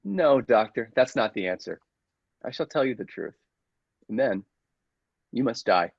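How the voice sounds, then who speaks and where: A man playing a megalomaniac robot who passes for human, a coldly menacing villain speaking formally and deliberately in a classic radio play. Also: medium pitch, animated, gravelly, husky, dry recording, lo-fi sound, exaggerated voice. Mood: gloating, menacing.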